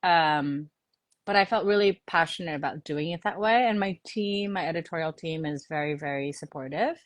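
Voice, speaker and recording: A woman's voice: deep voice, moderate pace, no room sound. A woman from New York whose first language was Korean, a novelist guest talking earnestly in a casual interview, often saying "you know". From New York, United States.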